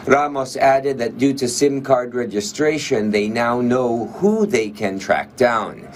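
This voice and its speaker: Male reporter, Filipino accent, average pitch, reading a news package with slight noise behind. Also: lively intonation, takes it slowly. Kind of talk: scripted news narration.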